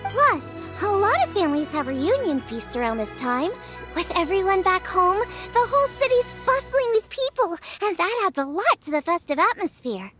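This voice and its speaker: An actress voicing a tiny, chatty fairy companion in a game, excitable and full of questions. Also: female voice, very high-pitched, moderate pace, soft background music, heavily acted. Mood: curious eager. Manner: excitable, inquisitive. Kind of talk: video game cutscene voice acting.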